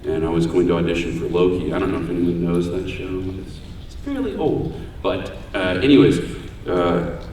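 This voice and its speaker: A man with a deep voice giving a casual, joking talk about voice acting on a convention panel. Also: lively intonation, normal speed, a little mellow, slight background noise. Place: large convention hall room. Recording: echoey hall, voice far from mic.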